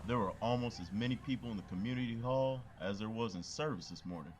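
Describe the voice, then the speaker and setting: A man voices a laid-back young cop who teases his friend in an easygoing way. His voice sits fairly low. He sounds like a character in an audio drama. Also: fast, noisy background, lightly acted. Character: joking young male police officer.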